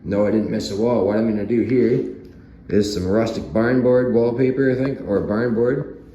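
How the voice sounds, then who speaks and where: A hoarse man giving a relaxed, rambling walkthrough of his half-renovated basement, chuckling as he chats to his viewers. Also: lowish voice, moderate pace, reverberant, dull audio, a little hiss or hum. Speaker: vlogger. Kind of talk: casual walkthrough chat. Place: home basement under renovation.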